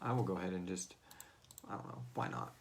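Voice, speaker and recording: A man's voice: low-pitched, fast talker, a little hiss or hum. A man hosting a casual question-and-answer chat, speaking informally and loosely, with some swearing. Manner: informal, swearing, acts out quotes. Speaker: host and series creator.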